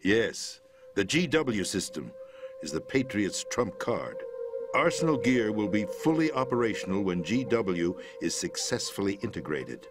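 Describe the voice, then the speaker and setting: An actor playing a middle-aged president in a video game, explaining a conspiracy in a measured, grave manner. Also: male voice, on the low side, sing-song, music in the background. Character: calm, grave middle-aged man, president briefing via codec. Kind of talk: video game cutscene voice acting.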